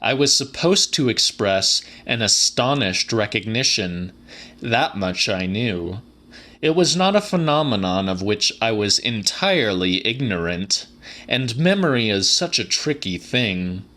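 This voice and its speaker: A man reads literary fiction as a dramatic audiobook. His voice is on the low side and bright, with a firm edge. He takes his time, very slow and deliberate through each phrase. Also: animated. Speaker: audiobook narrator.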